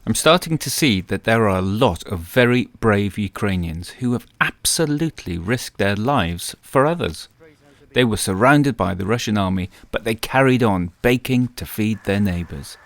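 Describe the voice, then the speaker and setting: A man presenting a food travel documentary, narrating in a calm, measured way. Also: lowish voice, no room sound. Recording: narration over guitar music.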